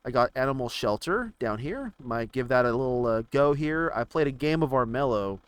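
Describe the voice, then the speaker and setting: A man giving casual, rambling commentary on video games, haltingly thinking out loud as he browses. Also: medium pitch, lively intonation, clean tone, no room sound. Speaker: gaming video creator.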